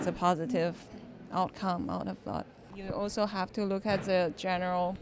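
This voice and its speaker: Slow, low-pitched woman director. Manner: thoughtful, halting, with restarts and "like". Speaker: film director. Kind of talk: interview answers.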